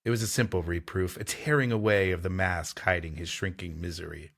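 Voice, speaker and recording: A man's voice: low-pitched, fairly even intonation, fairly quick, creaky, a bit bright. A man co-hosting a podcast, reading a story aloud with dry, wry joking asides. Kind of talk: casual banter mixed with story reading.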